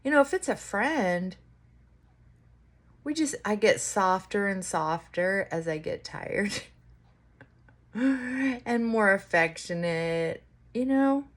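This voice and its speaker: A woman vlogger talking in casual, rambling chit-chat, reflective and halting, often trailing off and circling back. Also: medium pitch, lively intonation.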